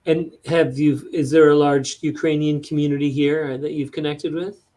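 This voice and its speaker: A male host with a slightly high voice runs a casual interview. He asks questions and closes with a friendly pitch for an event. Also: level tone, moderate pace, dark tone.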